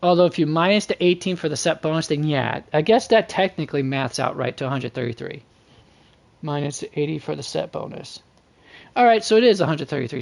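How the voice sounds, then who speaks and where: A man's voice: fairly high pitch, creaky. A man doing casual livestream game commentary, thinking aloud and muttering to himself in a folksy, slangy way. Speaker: game streamer.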